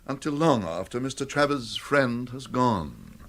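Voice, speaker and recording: An actor playing an unflappable, erudite English valet in a comic radio drama, speaking formally and with polite deference. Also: male voice, medium pitch, big pitch swings, a little slow, creaky, fairly bright tone, exaggerated voice. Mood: suave deference.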